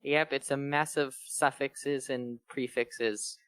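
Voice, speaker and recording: A man's voice: medium pitch, fairly even intonation, takes it slowly, a bit bright, clear, resonant tone, no echo. A man chatting and joking casually as one of a group reading fan fiction aloud. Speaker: reader on a bad fanfiction show.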